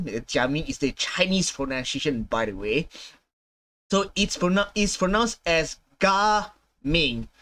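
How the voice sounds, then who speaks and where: A man in his twenties hosting a casual gaming reaction, halting and repeating himself as he searches for words. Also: fairly high pitch, a bit bright. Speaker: gaming reaction video host. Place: small room at home, indoors.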